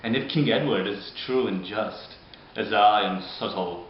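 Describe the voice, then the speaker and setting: A man performing a bitter, scheming deformed nobleman in a modern-style Shakespeare monologue, speaking deliberately with dramatic pauses. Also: fairly low pitch, natural voice. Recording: dull, very echoey room, background hiss.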